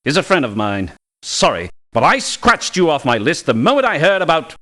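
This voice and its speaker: Courteous, wildly expressive male mercenary. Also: on the high side, normal speed. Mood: politely refusing. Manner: polite, formal, precise. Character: courteous, apologetic but aloof male mercenary. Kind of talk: video game character voice lines.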